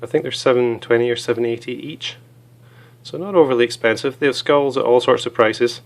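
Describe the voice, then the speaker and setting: A hoarse man with a cold, chatting casually as he reviews small gear items, with many fillers. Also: medium pitch, fairly quick.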